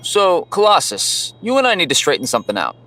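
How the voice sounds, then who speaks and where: Male actor as brash young superhero, higher voice, swinging intonation, cocky, hot-headed, jealous. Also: wildly swooping pitch, fairly quick, pushed and bright, full character voice. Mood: confrontational. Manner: brash, slangy, confrontational. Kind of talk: dramatized comic book audio.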